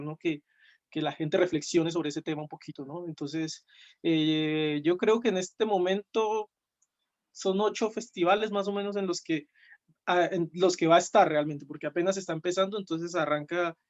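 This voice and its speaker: A student filmmaker answering on a panel, rambling with fillers. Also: male voice, on the high side, lo-fi sound. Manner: rambling, many fillers and restarts. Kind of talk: panel answer on a video call.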